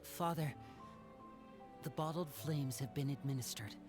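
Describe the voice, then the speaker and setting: An earnest young magician, played by a man, speaking respectfully in a mid-range voice. Soft game music sits underneath. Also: mid-range voice, measured pace, lightly acted. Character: earnest young male magician, loyal son. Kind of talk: video game voice acting.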